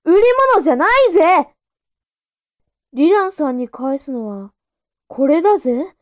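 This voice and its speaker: Woman voicing an eager, very high-pitched witch girl. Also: animated, measured pace, no echo, muffled recording. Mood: perky. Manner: earnest, awkwardly mixing polite and boyish speech. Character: eager, naive young stand-in witch girl. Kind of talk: comic anime-parody voice drama.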